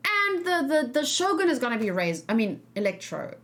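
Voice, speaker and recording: Female streamer, fairly high voice, casual and rambling, reacting and discussing off the cuff. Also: big pitch swings, normal speed, fairly bright tone. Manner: rambling, thinking aloud, hesitant. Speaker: streamer host.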